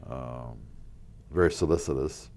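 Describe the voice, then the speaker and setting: An older man, a local historical reenactor, telling rambling stories in a casual interview, with a loud voice and many fillers and restarts. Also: a bass voice, measured pace, creaky. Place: community TV studio.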